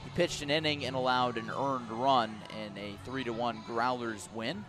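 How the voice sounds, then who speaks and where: An American man calling a baseball game live on the radio, describing play in measured, short clipped phrases. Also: medium pitch, steady tone, over music. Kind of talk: live radio sports commentary.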